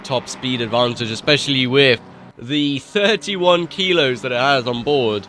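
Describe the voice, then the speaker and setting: A man's voice: medium pitch, slight background noise. Nasal man calling a sim race. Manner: excited rises at overtakes, quick asides. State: nasal from a cold. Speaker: race commentator. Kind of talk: live sim racing commentary.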